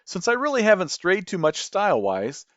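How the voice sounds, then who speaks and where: Animated Midwestern man hosting a podcast. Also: high voice, moderate pace, dry recording, muffled recording. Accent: Midwestern American. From American Midwest. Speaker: podcast host. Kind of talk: scripted narration.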